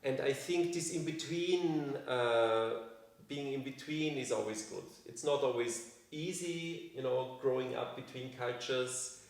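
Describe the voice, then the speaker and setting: A man in his forties with an Austrian accent, a native German speaker, talks reflectively in an interview as an artist, haltingly and often restarting his phrases. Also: mid-range voice, gravelly. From Vienna, Austria. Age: 40s. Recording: very echoey room, distant from microphone.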